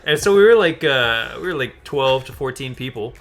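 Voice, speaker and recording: A man's voice: medium pitch, animated, moderate pace, a bit bright. A young man casually telling a rambling, amused story in a chatty style with some swearing. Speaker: gaming channel co-host. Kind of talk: casual storytelling chat over gameplay. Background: background music.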